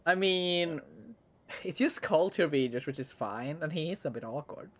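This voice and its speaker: A relaxed co-host on a game stream, speaking English as a second language. The talk is loose and jokey, often starting with "I mean." The delivery is unhurried and conversational. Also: mid-range voice. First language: not English. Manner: relaxed and joking, with frequent "I mean" fillers. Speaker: let's play co-host. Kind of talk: casual gaming chat.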